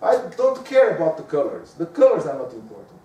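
A man with a Portuguese accent, lecturing with animated rhetorical questions. His voice sits high for a man. He sounds distant from the mic, and the room echoes around him. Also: brisk. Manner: lively, talks to the audience with frequent rhetorical questions. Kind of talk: lecture on giving presentations.

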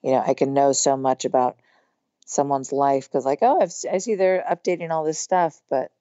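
A woman in her thirties with a hoarse, strained voice, chatting casually as a podcast guest with lots of fillers. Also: very deep voice, normal speed, warm tone, no echo, lo-fi sound. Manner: chatty, rambling with many fillers. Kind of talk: casual conversation.

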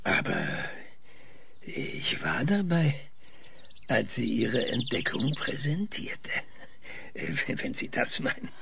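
A man's voice: medium pitch, big pitch swings, slow pace, creaky, long pauses, noisy background, heavily acted. An actor playing a stubborn, weary male scientist in an audio drama, hesitant, thoughtful and dryly ironic. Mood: reflective. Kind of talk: German audio drama acting.

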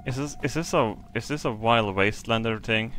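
A man's voice: mid-range voice, fairly quick, a bit bright, faint music behind. A man with a Swedish accent giving casual, frustrated commentary on a game as a streamer, swearing often. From Sweden.

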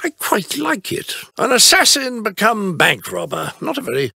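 An actor playing a prim, wry English butler, speaking formally in video game voice lines. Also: male voice, sits high, huge pitch swings, normal speed, vocal fry, sharp tone, slightly rough, dry recording, studio quality, exaggerated voice. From England. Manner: formal, genteel, quotes aphorisms.

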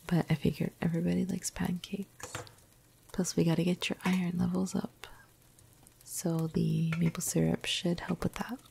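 A woman playing a flirty, caring vampire crush in an intimate ASMR roleplay, speaking softly and breathily into a close microphone with casual hesitations. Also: exaggerated voice. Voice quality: breathy, soft, husky, low. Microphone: close microphone, dry.

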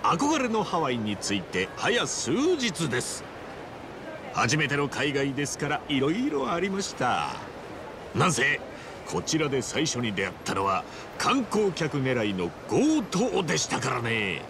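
A man's voice: mid-range voice, huge pitch swings, unhurried, background noise. An actor playing a boisterous, big-hearted ex-yakuza man in a video game, theatrical and earnest. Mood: showy, grandiose. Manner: theatrical, earnest, rough-and-ready. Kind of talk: Japanese video game voice acting.

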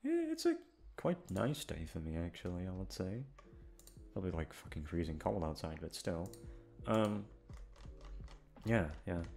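A man hosting his audio channel talks off the cuff in a fairly low voice. He rambles awkwardly, puts himself down and swears casually as he loses his thread. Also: sing-song, measured pace, creaky, pauses often, darkish tone. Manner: awkward, self-deprecating, swearing, scattered. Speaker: audio roleplay voice actor, channel host. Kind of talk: casual rambling outro chat.

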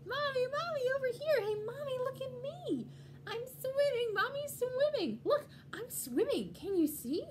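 A woman voicing an excitable, anxious little boy penguin in a children's storybook, childlike and exclaiming. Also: very high-pitched, unhurried, slight background noise, pitched above the performer's own voice, full character voice. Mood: excited, joyful. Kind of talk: children's picture-book read-aloud.

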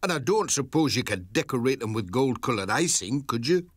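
Lively, courteous British schoolteacher. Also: male voice, medium pitch, fairly quick, dry recording. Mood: friendly. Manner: courteous, gently upbeat. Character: kindly, polite village schoolteacher man. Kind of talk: children's cartoon acting.

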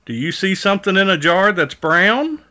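Bright, animated man reading a children's story. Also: high-pitched, normal speed. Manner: sing-song, questioning the young listener. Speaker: storybook reader. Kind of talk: read-aloud children's story narration.

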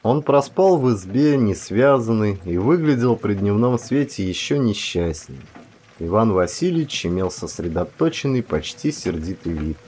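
A man's voice: lowish voice, lively intonation, moderate pace, darkish tone. A man reading aloud in the scripted manner of an audiobook narrator. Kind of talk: dramatic scripted literary narration.

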